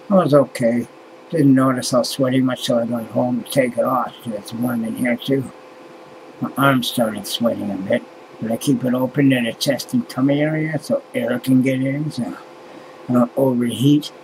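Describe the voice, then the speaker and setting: A man's voice: medium pitch, background noise. A man in his fifties from Canada, rambling and sighing to his webcam in a small room at night, swearing often and trailing off. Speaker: vlogger. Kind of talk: casual rambling vlog. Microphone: webcam close to face.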